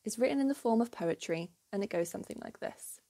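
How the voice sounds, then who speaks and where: A woman narrates a history lesson in a clear, measured way. Her voice is mellow and warm. She tells the story with quiet enthusiasm and moderate pitch. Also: animated. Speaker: history channel host. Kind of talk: scripted educational narration.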